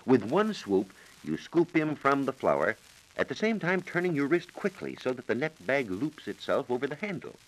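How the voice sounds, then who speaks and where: An American man giving measured, precise instructions as a science reporter on a scripted 1940s radio program. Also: medium pitch, sing-song, poor audio. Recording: 1940s radio broadcast recording.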